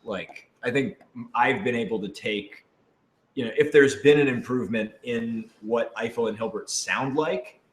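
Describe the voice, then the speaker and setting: A man's voice: medium pitch, moderate pace. A man, a voice actor, chatting off the cuff in a playful, informal way during a question-and-answer session. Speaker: voice actor on a radio drama. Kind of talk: casual unscripted Q&A chat. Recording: echoey room with clear background noise.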